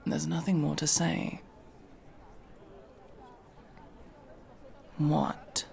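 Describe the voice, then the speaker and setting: A man playing a guarded, world-weary elf mercenary, centuries old but young-looking, in an intimate, brooding ASMR fantasy roleplay with a breathy, gravelly, halting delivery. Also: on the high side, monotone, darkish tone, slight background noise, heavily acted. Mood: defensive, pained. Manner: brooding, halting, often dropping to a whisper.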